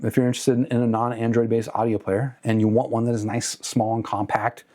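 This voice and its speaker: Casual man reviewing gear, mid-pitched voice. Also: level tone, fairly quick, warm tone. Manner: conversational, hedging, self-correcting. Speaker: host of an audio gear review channel. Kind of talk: casual unscripted product review to camera.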